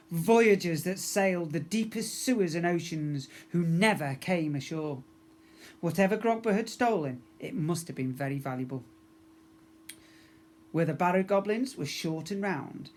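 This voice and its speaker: A man's voice: a little high, a little mellow. A man narrating a children's story expressively, as a teacher reading to young pupils.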